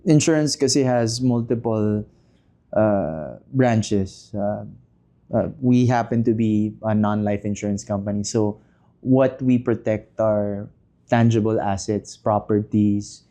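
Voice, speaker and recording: Soft-spoken man in his thirties. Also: lowish voice. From Philippines. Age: 30s. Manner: thoughtful, many hesitations and self-deprecating asides. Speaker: podcast guest. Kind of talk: casual interview chat.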